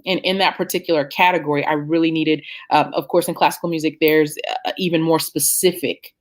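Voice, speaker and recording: Woman in her 30s, low voice, chatting thoughtfully about her singing career, lots of ums. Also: fairly even intonation, brisk. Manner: reflective, many "um" fillers and restarts. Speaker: opera singer, interview guest. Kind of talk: conversational interview answers.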